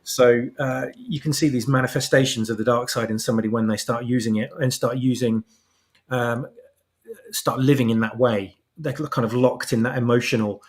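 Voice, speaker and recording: A man's voice: mid-range voice, steady tone, normal speed. A man giving conversational, reflective commentary as a panelist, explaining his ideas as he goes. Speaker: podcast guest panelist.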